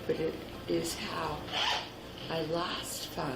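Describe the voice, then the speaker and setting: A woman's voice: low-pitched, little pitch movement, takes it slowly, gravelly. A woman in her fifties, speaking erratically and insistently to police, repeating herself. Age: 50s. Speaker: arrested woman. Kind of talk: real police bodycam exchange. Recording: noisy bodycam audio, echoey.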